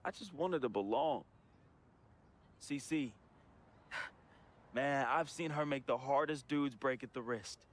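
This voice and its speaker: A man's voice: medium pitch, takes it slowly, pauses often. An actor playing a troubled, grieving young American man reading a farewell letter to his friend in an emotional, slangy, confessional voice-over. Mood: wistful, fond. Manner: emotional, slangy, heartfelt urban American delivery. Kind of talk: dramatic confessional voice-over monologue. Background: music.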